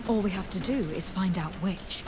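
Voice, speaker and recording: A woman's voice: medium pitch, normal speed, natural voice. Actress as composed noblewoman explorer over noisy ambience. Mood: earnest, curious. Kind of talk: video game voice acting.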